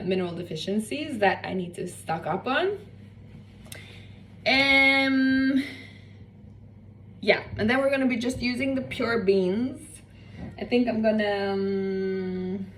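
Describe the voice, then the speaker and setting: A young woman from Norway, chatting casually and rambling off on tangents while she cooks in her home kitchen. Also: medium pitch. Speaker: cooking vlogger. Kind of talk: casual cooking vlog chat. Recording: slightly distant mic with faint room noise.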